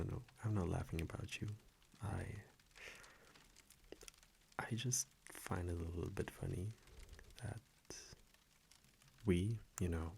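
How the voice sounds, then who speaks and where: A man playing an affectionate centuries-old vampire boyfriend in an intimate ASMR roleplay, speaking softly, hesitantly and teasingly into a close microphone. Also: deep voice, gravelly, slight background noise, heavily acted. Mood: amused, affectionate. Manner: soft, hesitant, teasing, chuckling between phrases.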